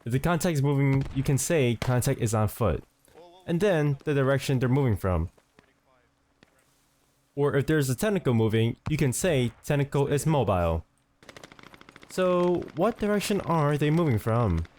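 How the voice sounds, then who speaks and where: A man's voice: medium pitch, lively intonation, normal speed, pauses often, a little mellow, slight background noise. A man giving scripted instructional narration as the host of a tutorial, speaking close to the mic.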